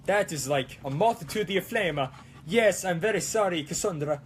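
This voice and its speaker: A man's voice: high voice, expressive, moderate pace, slight background noise. A man rambling casually as a livestream host, playful and theatrical, with a put-on, wavering Italian accent. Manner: playful, theatrical, peppered with mock-Italian exclamations. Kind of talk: casual rambling livestream chat while crafting.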